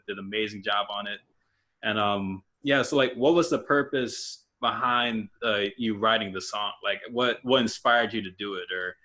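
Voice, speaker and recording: A young man hosting a podcast interview, casual and halting, full of fillers and restarts. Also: fairly low pitch, sharp tone. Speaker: podcast host.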